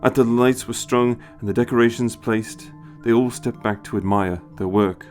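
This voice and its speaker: A man narrating a scripted story in an expressive storytelling style. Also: mid-range voice, normal speed. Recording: music behind the voice. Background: music.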